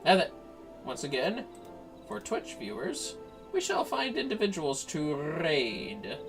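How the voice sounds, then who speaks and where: Low-key man chatting on a gaming livestream. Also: huge pitch swings, slow, over music. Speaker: game livestreamer. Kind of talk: casual gaming livestream chat.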